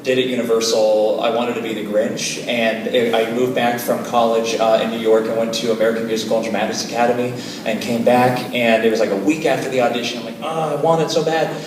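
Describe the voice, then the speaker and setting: A man on a panel telling an animated story about his career, laughing as he goes. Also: mid-range voice, fast talker. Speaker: panel guest, performer. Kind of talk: casual anecdote on a live panel. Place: event hall with a live audience. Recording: distant, echoey room recording with noise.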